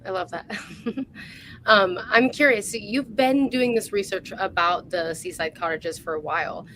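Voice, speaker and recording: A woman's voice: mid-range voice, moderate pace, fairly bright tone, slight background noise, low-quality recording. A woman hosting a casual interview, chatting in an informal, appreciative way. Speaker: host.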